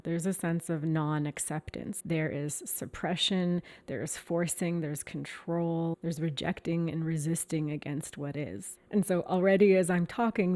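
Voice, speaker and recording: A calm woman, a meditation teacher talking reflectively to the camera in a gentle, measured way. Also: sits low. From Canada. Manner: calm, gentle and measured, slowing down on key words.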